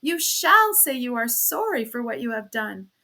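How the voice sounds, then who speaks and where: A woman's voice: a little high, big pitch swings, normal speed, bright, forceful tone, pitched above the performer's own voice, heavily acted. A woman voicing an anguished but firm young wife and mother in an audiobook, pleading and emphatic. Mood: pleading, insistent.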